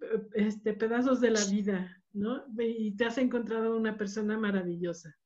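A woman hosting a seminar over a video call, addressing the group in a lively, cheerful way. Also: medium pitch, normal speed. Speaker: seminar host. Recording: dull lo-fi call audio.

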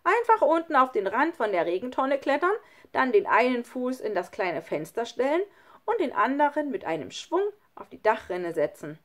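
Storybook casting: woman as plucky boy ringleader, urging and calling, animated swoops. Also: lowish voice, moderate pace. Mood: eager, encouraging. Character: bold, encouraging young boy, a daring ringleader. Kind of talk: children's storybook read aloud.

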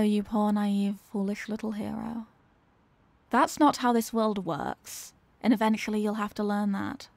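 A woman's voice: medium pitch, vocal fry, a little mellow, no room sound, lightly acted. A woman voicing a dominant, mocking female villain in an ASMR roleplay, with a condescending, teasing, theatrical manner.

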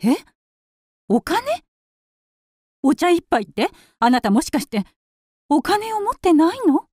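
A wealthy society lady in a radio drama, high and very animated. She is whimsical and effusive, with refined, theatrical diction and a pleased air about herself. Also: unhurried, no room sound, studio quality. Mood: airy, curious. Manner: theatrical, refined ladylike speech. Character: wealthy upper-class lady, whimsical, effusive, self-satisfied.

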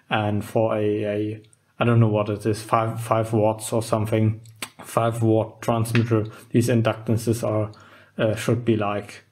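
A man with a soft, dark-toned voice walks through a hands-on demonstration. He speaks slowly and informally, with very little rise and fall, often halting as he thinks aloud. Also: medium pitch. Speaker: host of a DIY electronics channel. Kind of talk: casual unscripted demonstration. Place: indoors at a wooden workbench.

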